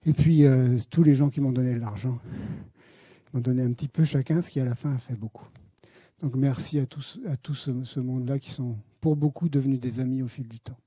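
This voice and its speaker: A man's voice: medium pitch, steady tone, brisk, dark tone, husky, dry recording. A middle-aged man with a French accent giving a conference talk as a visiting physician and researcher, hesitant and friendly, with many fillers. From France. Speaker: guest speaker, pediatric hematologist and researcher. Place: lecture hall.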